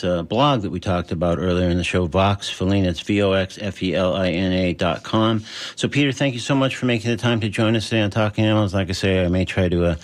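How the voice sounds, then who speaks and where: A man hosting a radio interview show, measured and friendly, pausing often with "uh". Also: sits low. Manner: measured, friendly, with frequent "uh" pauses.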